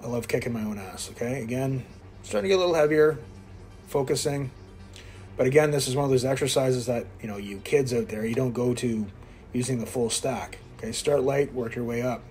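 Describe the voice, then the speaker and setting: A man's voice: medium pitch, a little fast, a little mellow, soft background music. A man in his fifties from Quebec, talking casually while working out in a gym and coaching viewers in a blunt, self-mocking way. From Quebec, Canada. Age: 50s. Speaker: fitness vlogger. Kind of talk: casual workout commentary. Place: indoor gym.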